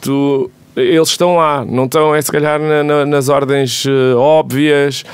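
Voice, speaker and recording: Portuguese man in relaxed band conversation. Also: on the high side, normal speed, clear, resonant tone, dry recording. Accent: Portuguese (European). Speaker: musician, band member being interviewed. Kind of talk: casual group conversation about making music.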